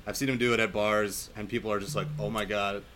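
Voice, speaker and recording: A man's voice: fairly low pitch, level tone, quick pace, fairly bright tone, a little hiss or hum. A man chatting casually about films in an enthusiastic, loosely wandering conversational way. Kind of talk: casual film chat.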